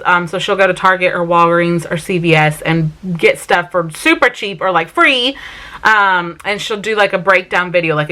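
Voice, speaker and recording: A woman in her thirties with a soft Southern accent talks casually straight to the camera in a small room at home. Her voice is bright and forward at an ordinary pitch. She chats along loosely, scattering "um" and "like" through her sentences. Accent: Southern US (South Carolina). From South Carolina, raised in Vermont. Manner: chatty, many "um" and "like" fillers. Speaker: mom vlogger.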